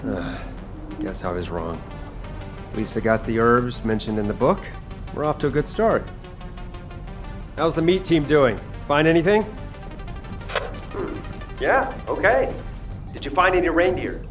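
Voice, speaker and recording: A man playing an earnest soldier who loves cooking in a video game, expressive and a little hesitant. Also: medium pitch, a little slow, pauses often, over music, lightly acted. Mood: concerned.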